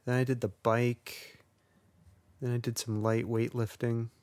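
A man's voice: mid-range voice, little pitch movement, unhurried, darkish tone. A man co-hosting a podcast in casual chat, rambling and wry, poking fun at himself. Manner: wry, rambling and self-deprecating. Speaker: podcast co-host.

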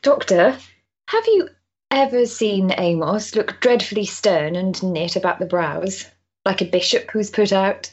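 A woman's voice: mid-range voice, expressive, measured pace, husky, lo-fi sound. An actress playing a sensible, kindly married Victorian woman in a period stage drama, polite and matter-of-fact. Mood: polite, confiding.

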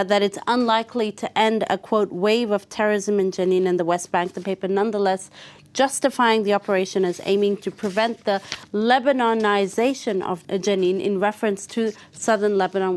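A woman giving a live press review on a news programme, often pausing mid-phrase as she explains the coverage. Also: mid-range voice.

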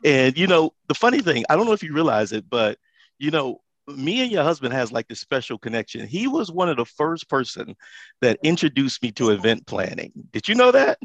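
A man's voice: mid-range voice, very animated, fairly quick, fairly bright tone, dry recording. A man hosting a casual interview, talking with enthusiasm and rambling with many fillers. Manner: enthusiastic, rambling, lots of fillers and repeated words. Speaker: interview host.

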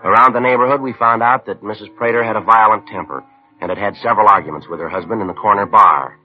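An actor playing a stoic, courteous police detective sergeant in a 1950s radio crime drama, deadpan and clipped. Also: male voice, fairly low pitch, quick pace, lightly acted. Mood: flat, factual. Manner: deadpan, clipped, matter-of-fact. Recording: dull lo-fi 1950s radio recording.

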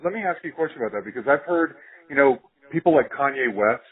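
A man's voice: mid-range voice, expressive, fast, husky. A man interviewing casually, with informal, rambling questions that he rephrases as he goes. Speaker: podcast interviewer. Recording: tinny band-limited audio.

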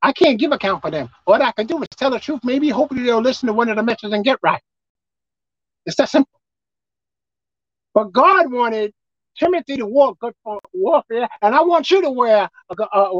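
A man with a squeaky voice preaching a sermon as pastor, emphatic and forceful. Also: expressive, a little fast, no echo, highs cut off. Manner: emphatic, pitch leaping up and down.

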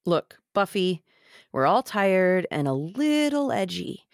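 A woman's voice: fairly low pitch, big pitch swings, slow pace, no room sound, studio quality, heavily acted. A woman playing a loyal, wry young man in a podcast script read, speaking casually. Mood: placating. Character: young man, loyal peacemaker friend, wry, feeling overlooked.

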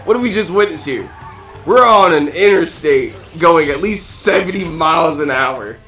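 A man's voice: on the high side, expressive, moderate pace, fairly bright tone, faint music behind. A man guesting on a podcast, reacting in casual comedic chat with incredulous, profane exclamations.

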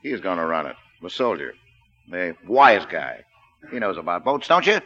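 An actor playing a menacing, cocky gangster boss with an American accent and tough, slangy speech in a 1940s radio drama. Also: male voice, low voice, big pitch swings, moderate pace, a bit bright, heavily acted. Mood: mocking, cocky. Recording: dull old 1940s radio broadcast recording.

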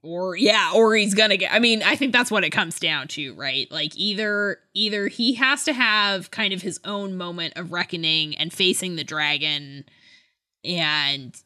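A woman co-hosting a podcast in casual chat, animated and conversational, often hedging as she talks. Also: on the low side, measured pace. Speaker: podcast co-host. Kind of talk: casual chat about books and films.

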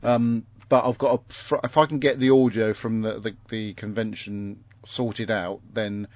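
A man's voice: lowish voice, little pitch movement, measured pace, a little mellow, no room sound. Male podcast host, rambling, dry teasing, dull lo-fi sound. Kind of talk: casual chat.

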